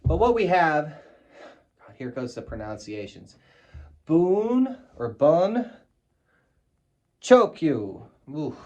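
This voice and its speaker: A middle-aged man from the United States talks through a collectible on camera in a casual, off-the-cuff way. His voice sits a little high for a man, and he is blunt, swears freely and drops wry asides. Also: big pitch swings, takes it slowly, long pauses, a little mellow, dull audio. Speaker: collector showing a wartime flag on video. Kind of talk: casual show-and-tell vlog.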